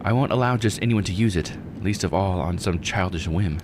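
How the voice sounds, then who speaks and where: A man voicing a guarded, secretive female mermaid, reading her game dialogue aloud in a stern, deliberate way. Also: deep voice, noisy background, close to an everyday voice.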